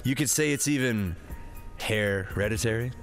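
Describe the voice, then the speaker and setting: A man's voice: on the low side, normal speed, soft background music. A man hosting a comedy movie review, recapping in a slangy, sarcastic, profane style with jokey banter.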